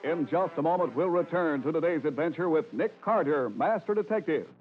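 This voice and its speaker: Old timey radio announcer guy, kinda high animated voice, polished salesman delivery, tinny. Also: fairly quick. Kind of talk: 1940s radio advertising and scripted narration.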